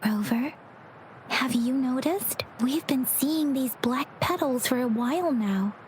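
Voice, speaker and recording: Thoughtful young female game companion, high voice, slow measured delivery, gently earnest. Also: slight background noise. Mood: quietly concerned. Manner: measured, analytical. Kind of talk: video game voice acting.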